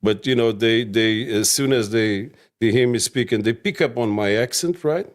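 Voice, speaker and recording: A man's voice: mid-range voice, moderate pace. A man with a Portuguese-Australian accent, rambling casually as an interview guest and often asking "right?". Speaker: real estate guest.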